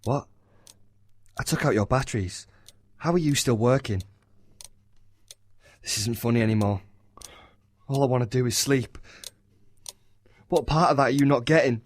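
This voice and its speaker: A man's voice: medium pitch, normal speed, long pauses, lightly acted. An actor playing a guilt-ridden, sleepless young man in an audio horror drama. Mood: agitated, desperate.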